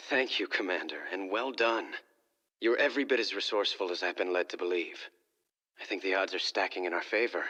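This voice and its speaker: A refined, self-assured prince from a video game. The voice is low and forceful, with long reverb around it. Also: male voice, brisk. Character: refined, confident crown prince, courteous and smooth-talking. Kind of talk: video game cutscene acting.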